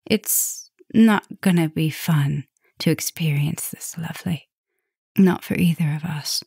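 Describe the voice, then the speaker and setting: A woman playing a caring, softly dominant vampire professor in an ASMR roleplay, talking gently and intimately to the listener, with breathy drops toward a whisper. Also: low voice, slow pace, dry recording, clean studio sound, full character voice. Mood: serious, protective.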